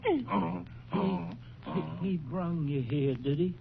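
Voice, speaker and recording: An injured old prospector in a vintage radio western. He is tough and forgiving, with weary, faltering, folksy speech at a middling pitch. The recording is muffled, with faint noise behind him. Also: big pitch swings, takes it slowly, full character voice. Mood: pained, weary.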